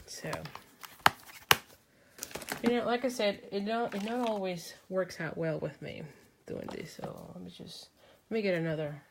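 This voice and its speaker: A woman's voice: medium pitch, fairly even intonation, a little slow, gravelly, pauses often, background noise. A woman from Mexico, who speaks natively, hosting a live craft demonstration, thinking aloud as she works and answering viewers' comments. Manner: chatty, thinking aloud while she works, many ums. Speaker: crafting host.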